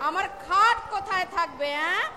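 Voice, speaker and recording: A woman playing a domineering, sharp-tongued middle-aged wife, scolding and threatening in a staged comic audio drama. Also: extremely high pitch, a little fast, crowd noise. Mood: menacing. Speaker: amateur actor, schoolteacher. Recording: echoey hall, noisy audience.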